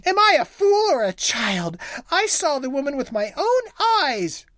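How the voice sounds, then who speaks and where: A woman voicing a fiery, jealous young wife who wails, sobs and scolds in a high, swooping voice. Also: big pitch swings, a little slow, a bit bright, dry recording, studio quality, exaggerated voice. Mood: furious. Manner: shrill, sobbing and wailing.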